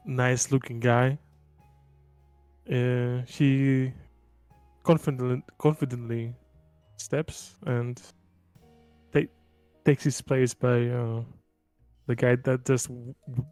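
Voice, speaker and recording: A man playing a tabletop roleplaying game, casually improvising in a halting way and stumbling over words. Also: mid-range voice, flat delivery, a little mellow, no room sound. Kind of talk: casual improvised character description. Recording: dry close voice with background music.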